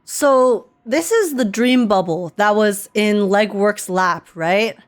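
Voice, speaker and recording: A woman's voice: medium pitch, takes it slowly. A woman giving casual live reaction commentary, informal and rambling as she thinks aloud. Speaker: streamer reacting to a game. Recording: game music in the background. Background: video game music and dialogue.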